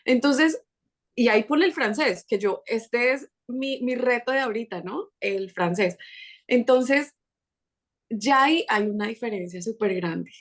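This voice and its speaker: A Colombian woman with a Colombian accent, talking as a podcast guest in an animated, chatty way with quick restarts. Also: fairly high pitch. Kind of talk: casual conversational interview.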